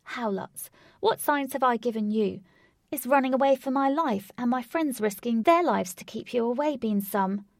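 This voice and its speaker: A woman voicing a defiant young woman who confronts her stalker in a raised, forceful voice. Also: moderate pace, no room sound, studio quality, close to an everyday voice. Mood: angry. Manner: forceful, pleading, high.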